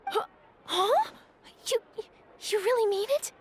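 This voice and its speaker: A woman's voice: very high voice, sing-song, slow pace, a little hiss or hum, exaggerated voice. A woman playing a spirited, generous young lady boss in a video game, breezy and sociable. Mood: surprised. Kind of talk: video game character acting. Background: background music.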